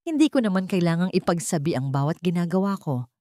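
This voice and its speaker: A woman's voice: lowish voice, very animated, fast, dark tone, no echo, clean studio sound. A woman voicing a weary, secretive husband who answers curtly and evasively in an audio romance story. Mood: weary, dismissive. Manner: curt, dismissive.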